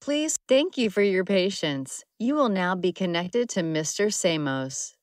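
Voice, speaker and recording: A woman's voice: on the low side, lively intonation, no room sound, clean studio sound. An actress voicing a courteous female operator who places a caller on hold. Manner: slow, courteous.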